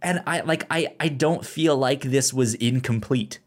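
Halting man chatting casually, often stammering. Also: mid-range voice. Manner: halting, frequently stammers and repeats words. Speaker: podcast co-host. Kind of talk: casual chat about a film.

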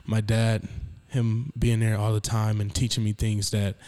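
A man's voice: fairly low pitch, flat delivery, unhurried, dark tone, clear, resonant tone. A young man co-hosting a podcast, talking candidly and informally in slangy everyday speech, like he is speaking to a close friend. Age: young adult. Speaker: podcast co-host. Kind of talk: casual chat.

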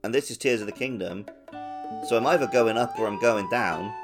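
A man's voice: lowish voice, a little fast. A man co-hosting a gaming podcast in casual conversation. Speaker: podcast co-host. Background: prominent plucked-guitar music.

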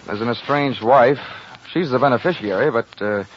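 An American actor playing a cynical, wisecracking insurance investigator in an old-time radio detective drama, wry and brash. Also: male voice, mid-range voice, normal speed, slight background noise, natural voice. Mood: brash, sardonic. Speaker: radio drama lead and narrator. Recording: muffled old radio broadcast, music behind.

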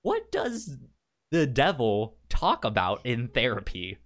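A man's voice: mid-range voice, huge pitch swings, slow pace, fairly bright tone. A man co-hosting a podcast in casual chat, repeating words as he forms thoughts and acting out quotes. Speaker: podcast co-host. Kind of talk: casual chat about a TV show.

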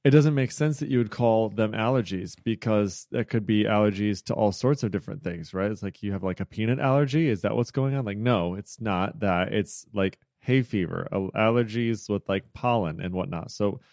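An American man co-hosts a casual podcast with a fairly low voice. He rambles freely and leans on fillers. The sound is dry and close, picked up by wireless earbuds. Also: normal speed, creaky, few pauses, darkish tone, no room sound. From United States. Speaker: podcast co-host.

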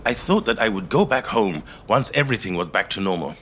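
An actor playing a quiet, thoughtful middle-aged man who tells his life story in a measured, reflective monologue. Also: on the low side, big pitch swings, breathy edge, heavily acted. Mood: grave, measured. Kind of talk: scripted first-person monologue, audio drama storytelling.

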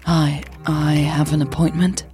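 A woman's voice: sits low, flat delivery, a little slow, dark tone, faint music behind. A woman voicing an anxious but determined young woman in love, speaking hesitantly in an audio drama. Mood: guarded. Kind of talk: audio drama dialogue acted by narrator.

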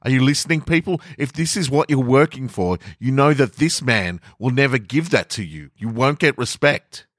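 A man's voice: medium pitch, lively intonation, a bit bright, dry recording, studio quality, voice pushed up. A man reading a story monologue as an aggrieved, uncomfortable office employee making an earnest, defiant stand. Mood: impassioned, rallying. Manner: deliberate, emphatic, earnest.

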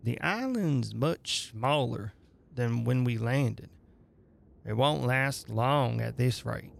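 A man's voice: mid-range voice, lively intonation, slow pace, creaky, exaggerated voice. A man reading the part of a practical, plain-spoken male travelling companion in a dramatic story.

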